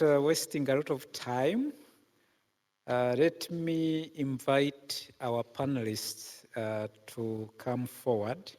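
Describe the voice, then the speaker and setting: Ugandan male panel moderator, slow and hesitant delivery, mid pitch, conference hall reverb. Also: darkish tone. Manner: slow and halting, many filler pauses.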